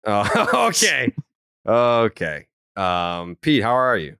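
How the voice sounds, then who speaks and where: A young man hosting a sports podcast, loud and teasing in casual chat. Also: lowish voice, wildly swooping pitch, slow, vocal fry, smooth, no room sound, studio quality. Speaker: podcast host.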